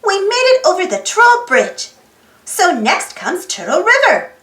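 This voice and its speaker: A woman reading a children's picture book aloud in an animated way, putting questions to young listeners. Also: very high voice, unhurried, reverberant. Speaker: storybook read-aloud host.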